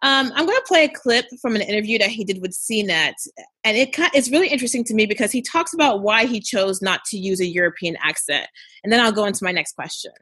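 A woman interviewer asking questions in a measured, thoughtful way with some hesitation. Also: mid-range voice, expressive, pushed and bright, slightly rough, no echo.

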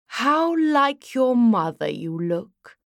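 A woman's voice: a little high, sing-song, slow, vocal fry, no echo, studio quality, exaggerated voice. A woman voicing an elegant, vain male elf lord in a storybook, with a languid, sighing, grandly formal delivery. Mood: languid, wistful.

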